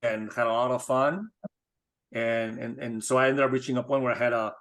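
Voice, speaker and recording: Measured middle-aged man from Spain, interview guest, even mid-range voice. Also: mid-range voice. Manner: measured, thoughtful, with frequent restarts. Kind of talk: casual interview talk.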